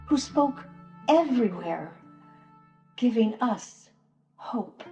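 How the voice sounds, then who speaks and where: A woman playing a sorrowful, troubled grandmother in a solemn Passion drama monologue, pausing long between phrases. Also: fairly high pitch, darkish tone. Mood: sorrowful, questioning. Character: sorrowful grandmother in occupied ancient land, a troubled bystander. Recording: roomy echoing church sound with music.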